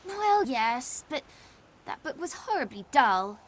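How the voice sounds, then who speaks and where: A spirited young girl in a fantasy video game. Her voice is high and very animated, with slow, childlike phrasing and a playful, cheeky manner. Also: full character voice. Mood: sheepish.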